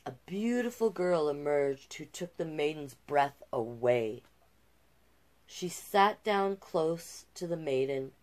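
A middle-aged woman reading a children's picture book aloud with expressive delivery and casual asides. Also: fairly low pitch. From Canada. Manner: unhurried, expressive storybook delivery. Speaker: storytime host and drag king. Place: small cluttered room indoors.

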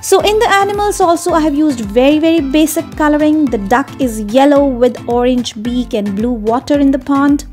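A woman narrating a step-by-step drawing tutorial for children in an unhurried, explanatory way. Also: sits high, a little mellow, soft background music.

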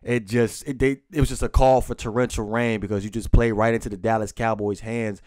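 A man's voice: on the low side, little pitch movement, darkish tone, dry recording. Informal man recapping football, dry close sound. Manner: informal, repeats phrases for emphasis, halting. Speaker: sports commentary vlogger and football fan. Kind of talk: unscripted post-game football recap.

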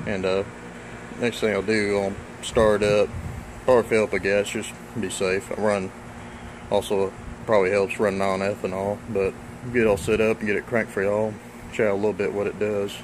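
A man giving a relaxed, rambling review of his gear outdoors, recorded on a handheld phone. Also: lowish voice, normal speed, background noise. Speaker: product reviewer. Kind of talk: casual product review. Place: outdoors on a lawn beside a carport. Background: running engine and spraying water.

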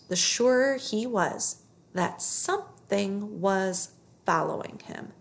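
Creaky-voiced woman reading a children's story. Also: mid-range voice. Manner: emphatic, storytelling delivery. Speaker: read-aloud narrator. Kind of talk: expressive children's book reading. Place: living room on a couch, indoors.

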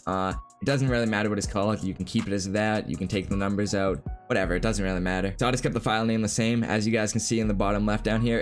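A man in his twenties giving a casual, informal tutorial, rambling a little as he explains step by step. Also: lowish voice. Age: 20s. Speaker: gaming video creator. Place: small room indoors at home. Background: background music.

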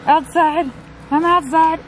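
A woman's voice: very high voice, steady tone, takes it slowly, a little hiss or hum, rough recording. A middle-aged woman chatting casually as she hosts a travel vlog, recorded on a camera mic. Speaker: vlog host. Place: cabin interior and wooded campsite.

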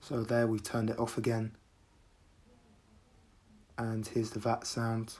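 Guy from the uk doing a casual review, very even flat voice, kinda deadpan. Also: fairly low pitch, slow, husky. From United Kingdom. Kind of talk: casual product review narration.

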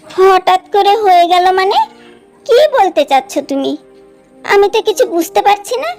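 A young landlord's wife acted by a woman in a cartoon melodrama, with an extremely high voice. She is proud and emotional, speaking dramatically over soft background music. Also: expressive, normal speed. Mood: suspicious, bewildered.

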